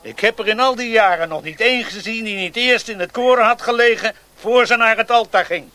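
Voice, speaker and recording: Sharp, scolding, hot-tempered village friar. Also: male voice, high voice, a little fast. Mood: scornful. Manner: scolding, scornful. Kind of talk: radio drama acting.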